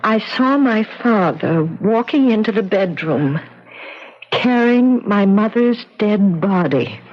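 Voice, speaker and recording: An actress playing a frightened, fragile grown daughter haunted by childhood fear, emotional and halting, in a radio drama. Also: female voice, mid-range voice, expressive. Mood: reflective, hopeful. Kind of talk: 1970s radio drama acting. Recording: dull 1970s radio recording.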